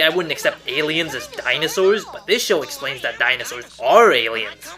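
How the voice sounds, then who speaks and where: Animated higher-pitched man narrating a countdown. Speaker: host of a top ten list show. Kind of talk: scripted list narration. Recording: music behind the voice. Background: music.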